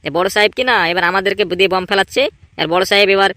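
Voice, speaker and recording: A man acts out a scheming, short-tempered village gang boss for a comedy cartoon. The voice is high and forceful, racing along in repetitive, emphatic scolding over faint noise. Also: flat delivery, very fast, no echo. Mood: bossy, scolding. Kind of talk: exaggerated comedy cartoon dialogue.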